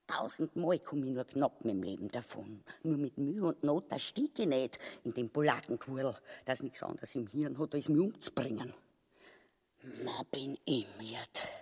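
A man acts out a greedy, scheming old-world shrew of a wife in grotesque comedy, ranting in coarse Austrian dialect. The voice is husky and croaky and swings widely in pitch, full of theatrical self-pity. It rings in a long hall reverb. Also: darkish tone, heavily acted. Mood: whining, regretful. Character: Mother Ubu, crude greedy scheming wife on the run. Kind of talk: grotesque stage comedy acting.

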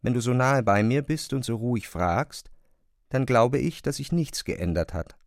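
A man reading scripted literary narration in a serious, measured manner. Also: mid-range voice, vocal fry, no room sound, clean studio sound, natural voice.

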